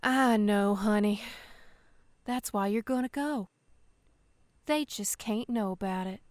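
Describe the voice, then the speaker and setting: A woman's voice: medium pitch, slow pace, darkish tone, no room sound, lightly acted. A woman playing an exasperated, protective spaceship crew member in an audio drama, speaking emphatically. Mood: conspiratorial.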